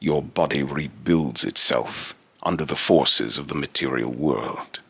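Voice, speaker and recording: A man voicing a solemn, otherworldly immortal being in a deep, raspy robotic voice, with grandiose, archaic, halting phrasing in a dramatic monologue. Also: pushed and bright, dry recording, full character voice. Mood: solemn, instructive.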